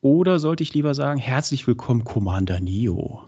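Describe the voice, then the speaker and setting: Husky man hosting, with a cold. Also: mid-range voice, lively intonation, moderate pace, mellow. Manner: chatty, many fillers. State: has a cold. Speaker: podcast host. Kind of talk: casual podcast chat. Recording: dull lo-fi sound.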